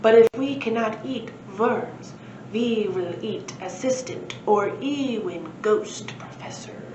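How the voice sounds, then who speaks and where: A woman voicing a sly, hungry, pale vampire creature in a children's storybook, with slow, drawn-out speech. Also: on the high side, recorded from a distance. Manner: slow, drawn-out, menacing delivery. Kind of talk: children's storybook read-aloud. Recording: roomy, muffled, faint background noise.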